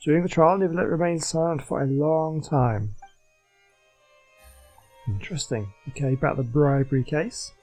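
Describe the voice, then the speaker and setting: A man's voice: a little high, warm tone, rough recording. A man doing a casual video game playthrough, reading in-game text aloud.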